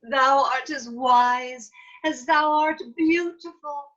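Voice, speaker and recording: A woman playing a regal, doting fairy queen in a Shakespeare table read, speaking measured verse theatrically over a video call. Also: high-pitched, fairly bright tone. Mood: lovestruck. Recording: muffled video call audio.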